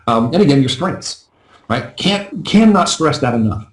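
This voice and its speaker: A man coaching a live webinar in a chatty, self-deprecating way, his voice going a little hoarse. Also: on the high side, animated, echoey room. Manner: fast, chatty, self-deprecating, often asks "right?". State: losing his voice. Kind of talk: casual live webinar teaching.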